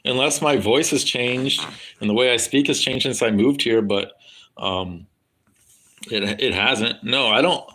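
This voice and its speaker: A man's voice: mid-range voice, measured pace, pushed and bright, breathy edge, low-quality recording. A man with a standard American accent chatting loosely with his live stream viewers, swearing freely and rambling with lots of fillers. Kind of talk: casual rambling chat with viewers.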